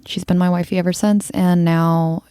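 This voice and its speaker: A woman's voice: fairly low pitch, level tone, normal speed, warm tone, smooth, dry recording, clean studio sound. A woman from Hong Kong hosting a podcast, chatting casually and teasing her guest with frequent laughter. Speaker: podcast host.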